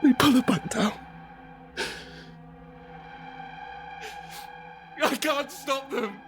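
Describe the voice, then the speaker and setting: A man's voice: very high voice, normal speed, over music. A man acting a desperate, anguished middle-aged father in a post-apocalyptic audio drama, narrating in the first person with raw intensity. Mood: anguished grief. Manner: intense, emotionally raw, sweary. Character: desperate, anguished father surviving an apocalypse, haunted and guilt-ridden.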